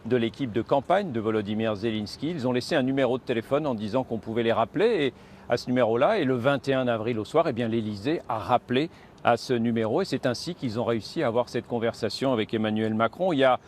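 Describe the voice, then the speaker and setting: A man's voice: medium pitch, fast. A man from giving a conversational live news report as a foreign correspondent. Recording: outdoor city noise with traffic.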